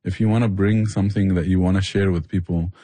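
A man's voice: low voice, fairly even intonation, fast talker, dark tone, no room sound. A man explaining things with passion in a conversational interview. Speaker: barbecue restaurant owner and chef, interview guest.

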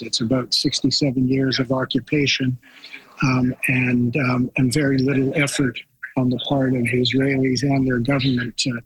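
A man speaking as a guest commentator in a radio discussion, critical and halting, with many fillers. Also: medium pitch, fairly bright tone, slightly rough, rough recording.

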